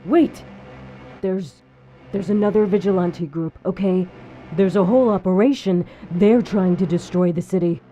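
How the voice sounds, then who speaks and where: A woman's voice: on the low side, moderate pace, warm tone, soft background music, natural voice. A woman playing an elusive, defiant masked vigilante in an audio crime drama, insistent and secretive in manner. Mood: urgent, pleading. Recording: dull sound with faint background noise.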